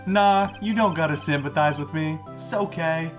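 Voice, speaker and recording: A man's voice: fairly high pitch, normal speed, faint music behind, lightly acted. A man voicing a whiny, lovelorn young slacker with an overwrought, slangy delivery in a fan-dubbed game. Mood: heartbroken. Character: melodramatic, whiny young male slacker, lovelorn best friend. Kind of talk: fan-dub video game dialogue.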